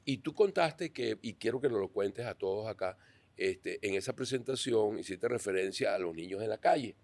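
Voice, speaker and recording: Male podcast host, lower voice, dry studio sound, earnest with hesitant restarts. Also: animated, moderate pace, darkish tone, no echo. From Venezuela. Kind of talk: interview questions.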